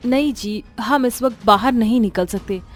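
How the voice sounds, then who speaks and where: A woman's voice: on the high side, moderate pace, soft background music, natural voice. A woman playing a worried, devoted village wife in a horror story, speaking earnestly and firmly. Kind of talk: dramatized horror story acting.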